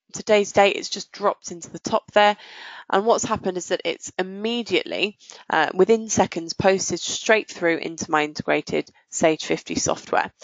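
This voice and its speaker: A woman presenting a software walkthrough in a methodical, explanatory way. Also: fairly low pitch, no echo, lo-fi sound. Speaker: software demonstrator.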